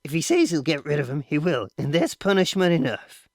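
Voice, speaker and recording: A man's voice: a little high, sing-song, brisk, creaky, dry recording, studio quality, pitched above the performer's own voice. A man reading aloud voices a stern, wealthy father who questions his son firmly. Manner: firm, clipped and questioning.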